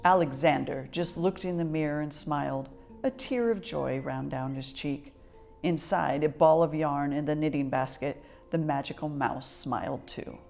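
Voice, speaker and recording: Woman storyteller, lowish pitch, expressive children's book narration, measured, articulate, some music. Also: creaky.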